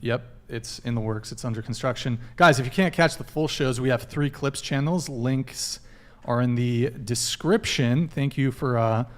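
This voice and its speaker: A man hosting a casual dating podcast, reading shout-outs and joking between plugs. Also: mid-range voice, normal speed. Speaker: podcast host.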